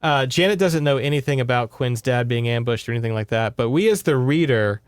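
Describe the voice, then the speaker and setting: A man with a smooth, middle-pitched voice recaps a book as a podcast host. He talks informally and wanders through the story, swearing casually along the way. The sound is very dry, with no room around him. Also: brisk, no echo.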